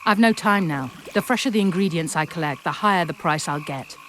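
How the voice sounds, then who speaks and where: A woman voicing a brisk, haughty sorceress who speaks formally in a video game. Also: on the low side, a bit bright, slight background noise, lightly acted. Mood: cool, businesslike. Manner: formal, aloof.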